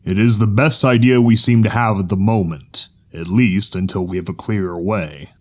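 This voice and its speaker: A woman voicing an ominous, unsettlingly calm zebra with a formal monotone in an audio drama. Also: a bass voice, moderate pace. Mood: grave, flat.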